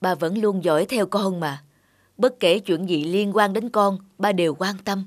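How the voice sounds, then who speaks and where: A man's voice: high-pitched, a little slow, no room sound, studio quality. An actor playing a remorseful, devoted middle-aged father in a cartoon drama, apologetic and placating in manner. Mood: tender, placating. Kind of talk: animated drama dubbing. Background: music.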